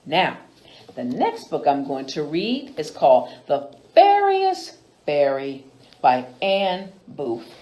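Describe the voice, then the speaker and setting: A woman reading picture books aloud to children in an expressive storytime style, as a host with a deliberate, animated delivery. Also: sits low, a little hiss or hum.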